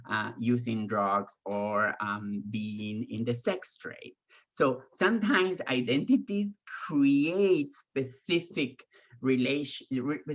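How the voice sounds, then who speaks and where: A middle-aged woman from Argentina with an Argentine Spanish accent, giving a candid, profane talk to an online audience over a video call, with many fillers and pauses. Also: rumbling low, fairly bright tone. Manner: mocks others in raised voices when quoting them. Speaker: guest speaker.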